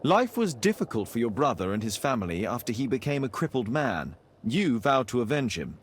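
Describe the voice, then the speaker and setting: An actor playing a sharp, formal Victorian gentleman detective in a video game, with a British accent and precise, authoritative delivery. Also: mid-range voice, sing-song, a little fast, slight background noise, lightly acted. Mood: stern, measured. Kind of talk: dramatic video game acting.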